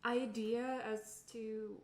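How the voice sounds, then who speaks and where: A woman's voice: a little high, little pitch movement, extremely slow, darkish tone. A woman playing a tabletop roleplaying game, casually asking questions. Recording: roomy echo with faint noise.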